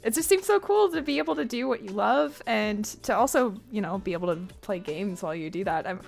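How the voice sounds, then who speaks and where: A woman hosting a casual livestream interview, supportive and quick with short affirmations. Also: mid-range voice, lively intonation, vocal fry, faint music behind. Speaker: interviewer host.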